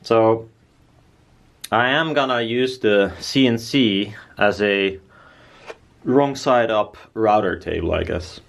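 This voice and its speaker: A man's voice: lowish voice, slow, vocal fry, pauses often. A man talking casually and bluntly as he presents his own DIY build, rambling informally. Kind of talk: casual workshop chat.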